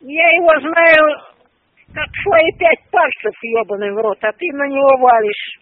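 A shrill, indignant older woman cursing down a tinny phone line, her very high voice scolding without pause. The sound is dry and squeezed into a narrow telephone band. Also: no room sound. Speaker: prank call target. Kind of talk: phone argument.

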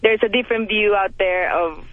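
A woman's voice: medium pitch, fairly quick, no room sound. A woman from El Salvador with a Salvadoran Spanish accent and Spanish as her first language, calling in to a radio show and chatting with plenty of fillers. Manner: chatty, laughs often, many fillers. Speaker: caller. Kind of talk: call-in radio conversation. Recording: tinny phone line.

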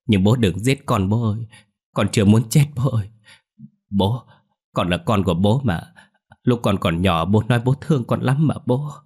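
A man's voice: fairly low pitch, a little mellow, husky. Man acting a whimpering, terrified grown son. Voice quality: whimpering, tearful. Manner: pleading, repeating himself. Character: cowardly grown son, terrified, begging for his life.

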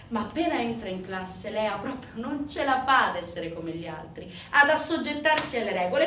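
A woman's voice: mid-range voice, fairly bright tone. Woman storytelling dramatically from a distance. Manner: dramatic, emphatic, with deliberate pauses. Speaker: stage actress, solo narrator. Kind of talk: theatrical monologue storytelling. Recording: roomy, distant theatre recording, background noise.

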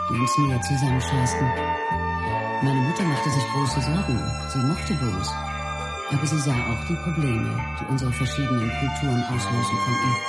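A reflective wife over loud music. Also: female voice, very deep voice. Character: reflective wife remembering her martial artist love. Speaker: actress in a radio play. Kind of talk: scripted memoir narration in a radio play. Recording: loud string music under the voice.

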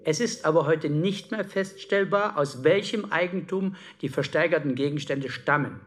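Man as dry, higher-voiced town clerk. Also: fairly bright tone, natural voice. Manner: official, matter-of-fact, precise. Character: dry bureaucratic town clerk reading an official letter. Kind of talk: formal document reading in documentary radio play.

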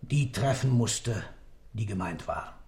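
An actor playing an old accused man in a radio play, cryptic and proud, speaking in a measured, ironic, superior way. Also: lowish voice, expressive, a little mellow. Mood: proud, confiding. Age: older. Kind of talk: German radio play acting.

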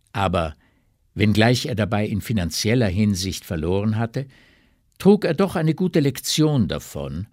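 Slow, husky male storyteller. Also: on the low side, no echo, studio quality. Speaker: narrator. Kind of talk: literary scripted narration for radio drama.

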